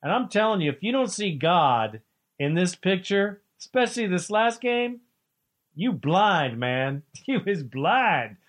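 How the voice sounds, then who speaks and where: Guy hosting a fan radio show, kinda high voice, fired up and preachy. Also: a little slow. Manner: excitable, preachy, trash-talking fan. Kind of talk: sports talk radio.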